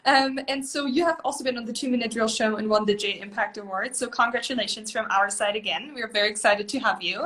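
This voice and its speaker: A bright, upbeat woman hosts a live interview over a video call. Her voice sits a little high and she keeps things moving with encouragement and easy laughter, cheering guests on between their answers. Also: fast talker, hardly any pauses. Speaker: host.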